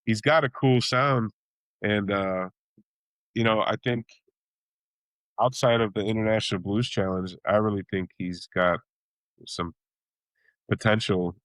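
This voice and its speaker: A man from Chicago, a blues singer and bandleader, talking casually in an interview, rambling with many restarts and acting out reactions in sudden high exclamations. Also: steady tone, unhurried, long pauses, a bit bright, no room sound, poor audio.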